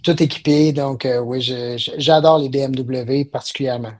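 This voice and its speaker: A man's voice: mid-range voice, fairly even intonation, moderate pace, darkish tone. A middle-aged man with a Quebec French accent, chatting as an interview guest in hesitant, reflective phrases. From South Shore of Montreal, Quebec. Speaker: podcast guest, business networking company president. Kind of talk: casual interview chat.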